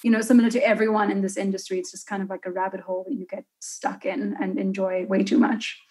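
A woman's voice: mid-range voice, flat delivery, fast, darkish tone, low-quality recording. A young woman in her twenties with a South African accent, a guest chatting casually in an interview, who laughs easily. She talks into a desk microphone in a room at home. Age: 20s. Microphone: studio mic on a desk stand.

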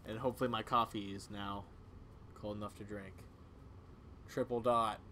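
A man's voice: on the low side, level tone, moderate pace, sharp tone. A man doing a game playthrough and reading dialogue aloud in a casual, self-correcting way. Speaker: gaming channel player voicing characters. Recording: clearly audible background noise.

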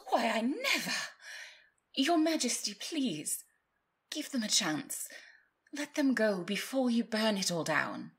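A pompous griffin courtier acted by a woman with a husky, creaky voice that rises and falls theatrically. She sounds haughty and devoted, quick to take offence in a fantasy audio drama. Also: on the high side, very animated, full character voice. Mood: shocked, pleading. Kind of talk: fantasy audio roleplay acting.

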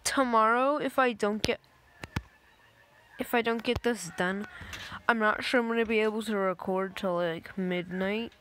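A young woman casually commenting on a driving game, voice a little raspy from just waking up, rambling in a playful way. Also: medium pitch, a little slow, a bit bright, slight background noise. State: slightly raspy, just woken up. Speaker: gameplay video maker. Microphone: phone screen recorder.

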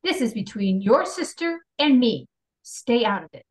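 A woman's voice: on the high side, sing-song, moderate pace. A woman playing an exasperated, bickering but proper Italian-American mother in a script table read.

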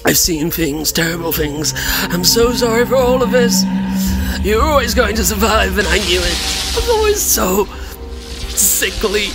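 Man acting a slow, desperate, sickly madman. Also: sits high, sing-song, loud music behind the voice, full character voice, poor audio. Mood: terrified, failing. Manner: halting, fraught, fragmented delivery. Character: sickly, desperate invisible male hunter losing his mind. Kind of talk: audio drama acting.

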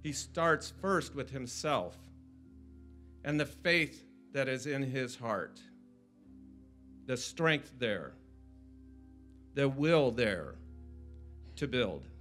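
A man recites verse at an extremely slow pace in a mid-pitched voice. Faint music plays behind him. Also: animated, a bit bright. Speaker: community poetry reader. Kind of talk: poetry recitation.

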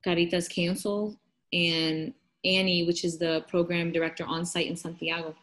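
A woman's voice: fairly low pitch, flat delivery, normal speed, fairly bright tone. A young woman from Florida, a university student, chatting on a video call and retelling events with quoted asides. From Florida, United States. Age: young adult. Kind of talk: casual video call chat. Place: indoor living room. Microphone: laptop webcam on a video call.

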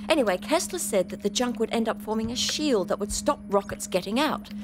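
An actress playing a brusque, clever retired adventurer, commanding and dryly impatient, in a sci-fi comedy audio drama. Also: female voice, a little high, animated, brisk, natural voice. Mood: dry, explaining. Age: older.

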